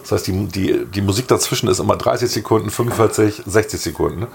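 Hoarse, gravelly man chatting. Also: fairly low pitch, fast talker. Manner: joking, laughs often. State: hoarse with a slight cough. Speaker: podcast co-host. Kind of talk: casual chat.

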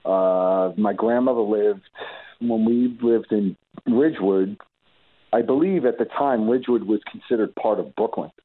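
A man's voice: on the low side, a little slow. A man from New York, a guest musician on a remote call, telling his story in casual, rambling interview chat full of restarts. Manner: rambling, many restarts and fillers. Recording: tinny phone-like line.

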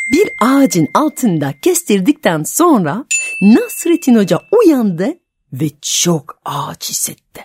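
Expressive woman storyteller. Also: medium pitch, no room sound, studio quality. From France. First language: French. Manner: expressive storytelling with dramatic pauses. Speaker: storyteller and radio host. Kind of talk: radio chat and folktale narration.

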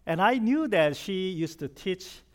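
A man's voice: high voice, expressive, a little slow. A middle-aged man from South Korea with a Korean accent, preaching a chatty, humorous sermon and asking the congregation questions. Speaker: pastor. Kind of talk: conversational sermon.

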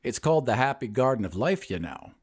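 A man's voice: medium pitch, big pitch swings, brisk, a little mellow, husky. A man voicing a sardonic, scornful old mural painter in an audio story. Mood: satiric. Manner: satiric, scornful.

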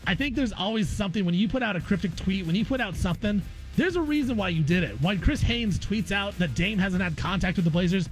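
A man's voice: high-pitched, expressive, quick pace, faint music behind, poor audio. An American man co-hosting a sports talk show, discussing basketball casually and with opinion, often repeating phrases for emphasis.

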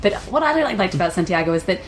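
A woman's voice: fairly low pitch, big pitch swings, racing, a little hiss or hum. A woman co-hosting a travel podcast who talks in a chatty, enthusiastic way. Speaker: podcast co-host. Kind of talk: casual travel chat.

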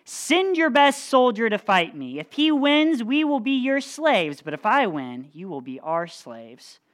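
A woman's voice: fairly high pitch, very animated, unhurried, pitched above the performer's own voice. A woman voicing a huge, boastful male giant warrior who bellows cruel taunts in a storybook reading. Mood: taunting. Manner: bellowing taunts, loud and raised.

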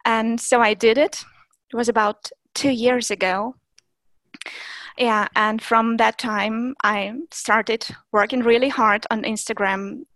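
This is a woman whose first language is Russian, answering interview questions in English. She sits a little higher in pitch and keeps stopping on fillers and restarting, over a close, dry recording without room sound. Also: steady tone, slow, a bit bright, no room sound. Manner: hesitant, many fillers and restarts. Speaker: German teacher and Instagram creator. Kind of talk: interview guest, casual conversation.

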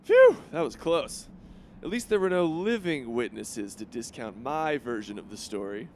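Man voicing a cowardly cartoon boy hero, lively bluster over background noise. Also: medium pitch, moderate pace. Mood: panicky. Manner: self-serving, blustery, panicky. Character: boastful, cowardly young male superhero.

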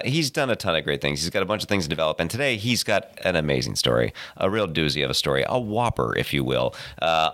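A man hosting a comedy podcast, congested and a little raspy from a cold, upbeat and playful as he chats. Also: low voice, no echo. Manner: upbeat, playful, lively intonation. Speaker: podcast host. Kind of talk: casual podcast chat.

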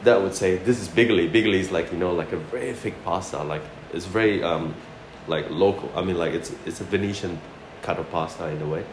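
Low-voiced man from Malaysia, echoey noisy recording. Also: a little fast, hardly any pauses. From Malaysia (Sarawak), later New Zealand. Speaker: chef being interviewed. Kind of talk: casual interview chat, anecdotes. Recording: roomy echo, loud background noise.

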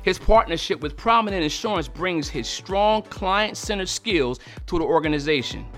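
An enthusiastic male host keeps things loose and slangy as he talks with his guest. His voice is bright and forceful and sits a little high. Soft music plays faintly underneath. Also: expressive, moderate pace. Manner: enthusiastic, slangy, laughs often. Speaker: show host. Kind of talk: interview hosting.

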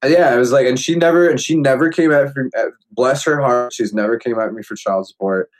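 A man's voice: medium pitch, brisk. A man in his late twenties chatting casually, rambling and filling his talk with "like" and restarts. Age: 20s. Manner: rambling, heavy use of "like". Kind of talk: casual chat.

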